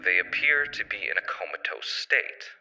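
A man playing a calm official announcer on an emergency information tape, with measured, clinical delivery. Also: low-pitched, music in the background, low-quality recording. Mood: grave, matter-of-fact. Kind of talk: scripted public-information narration.

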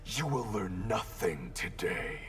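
An actor playing a stern, righteous warrior in a video game, speaking in a grave, formal, commanding manner. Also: male voice, fairly low pitch, very animated, slow pace, over music, heavily acted. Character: stern, stoic male warrior, cold and righteous. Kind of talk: video game fighter dialogue.

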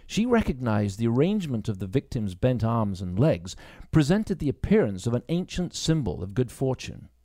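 A man's voice: mid-range voice, big pitch swings, normal speed, hardly any pauses, warm tone, no room sound, studio quality. A man reading a mystery story aloud as a scripted narration. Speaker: audiobook reader, local actor.

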